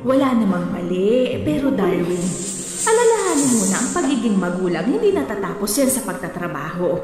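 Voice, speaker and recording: A woman's voice: medium pitch, very animated, fairly quick, darkish tone, close to an everyday voice. A woman playing a stern middle-aged mother who scolds and lectures her grown son in a radio drama. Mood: scolding, admonishing. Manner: scolding, preachy. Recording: very echoey, reverberant, music behind.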